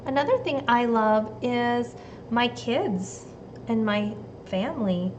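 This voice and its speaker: A woman from the United States reading a storybook aloud and giving children simple, deliberate instructions like a teacher. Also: a little high, a little mellow, dull audio, slight background noise. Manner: deliberate, simple phrasing addressed to young children.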